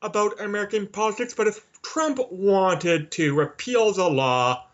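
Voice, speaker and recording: A man's voice: high-pitched, measured pace. A man from Canada speaking as an interview guest in an assertive, opinionated, conversational way. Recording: slightly dull lo-fi audio.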